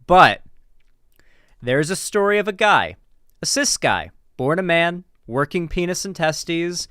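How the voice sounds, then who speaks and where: A man with a clear, smooth voice in a dry, close recording. He talks off the cuff like a streamer reacting to something, informal and emphatic, restarting phrases and dropping swear words. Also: on the high side, animated, slow, sharp tone, dry recording. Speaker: political commentary streamer. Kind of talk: casual reaction commentary, unscripted.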